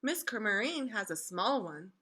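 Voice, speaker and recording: A woman's voice: mid-range voice, big pitch swings, normal speed, sharp tone. A woman voicing a curious, discontented young girl who asks plaintive questions in a children's storybook. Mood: puzzled. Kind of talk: children's storybook read-aloud.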